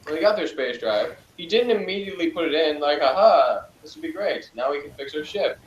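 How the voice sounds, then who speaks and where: A man's voice: on the high side, lively intonation, a little fast, lo-fi sound. Man, co-host, rambling and scornful, echoey room, light background noise. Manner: dismissive, rambling with fillers. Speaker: podcast co-host. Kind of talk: casual review chat.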